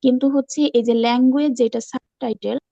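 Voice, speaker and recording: A woman's voice: high-pitched, fairly even intonation, fast, gravelly, warm tone, no echo, lo-fi sound. A woman eagerly explaining from her own experience in a casual group call, often repeating herself. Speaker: class participant. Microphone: online call.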